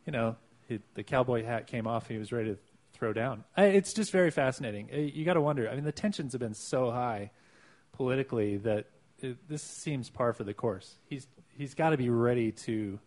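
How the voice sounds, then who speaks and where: Chatty podcast co-host man, mid-pitched. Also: brisk. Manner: lively, laughs easily, musing asides. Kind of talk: casual podcast chat. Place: room in a clock tower.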